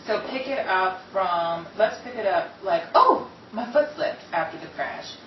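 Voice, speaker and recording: A woman chatting casually as she leads a voice acting rehearsal, giggly and excitable. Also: mid-range voice, measured pace. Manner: giggly, excitable, often breaking into laughter. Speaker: rehearsal leader and voice actor. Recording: echoey, distant, muffled, noisy room recording.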